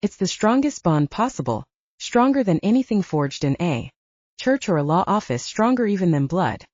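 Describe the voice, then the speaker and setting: A woman reading the lines of a calm, earnest male werewolf alpha in an audiobook. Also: lowish voice, normal speed, no room sound, highs cut off.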